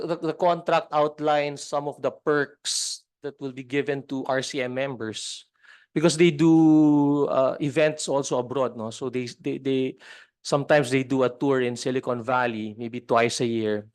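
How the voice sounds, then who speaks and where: A man presenting a proposal in a business meeting, halting with many fillers and restarts, heard over a video call. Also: medium pitch.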